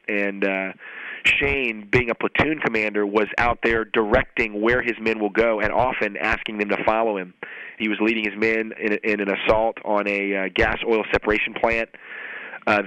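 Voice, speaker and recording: Low, even-voiced man over faint music. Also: no room sound, lo-fi sound. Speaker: military officer. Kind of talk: first-hand recollection.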